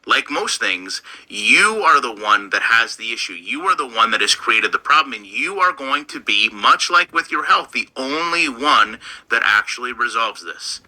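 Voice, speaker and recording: A man's voice: mid-range voice, pushed and bright, poor audio. A man hosting a commentary channel, lecturing bluntly in a scripted delivery.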